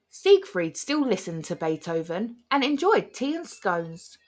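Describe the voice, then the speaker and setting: A woman reading a rhyming children's picture book aloud in an animated, theatrical storytelling manner. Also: mid-range voice. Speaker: storybook reader.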